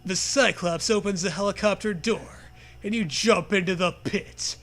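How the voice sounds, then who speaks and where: A man's voice: sits high, moderate pace, fairly bright tone. A man co-hosting a comedic story read-aloud, moving between narration and crude, joking casual banter. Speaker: co-host reading a comic story.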